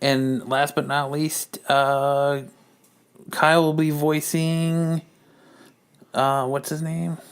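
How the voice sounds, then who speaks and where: A man's voice: fairly high pitch, little pitch movement, takes it slowly, pauses often, smooth. Man hosting with casual, jokey banter. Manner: jokey, profane. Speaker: podcast host. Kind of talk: casual banter introducing a comedy reading.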